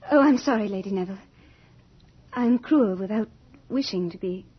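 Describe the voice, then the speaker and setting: An actress playing Death as a lovely, shy young girl in an old-time radio drama, sweetly polite and breathy. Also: medium pitch, moderate pace, close to an everyday voice. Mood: gentle, eerie. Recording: dull old radio recording, faint music.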